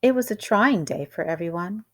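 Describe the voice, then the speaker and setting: A woman reading a children's picture book aloud like a teacher, with expressive, deliberate and dramatic storytelling. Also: medium pitch.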